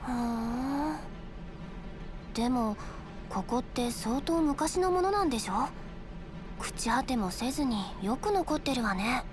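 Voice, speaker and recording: An actress playing a sweet but feisty teenage girl in a Japanese video game, with a soft, girlish, inquisitive manner. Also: sits high, expressive, unhurried, pauses often. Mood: curious, thoughtful. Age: young adult.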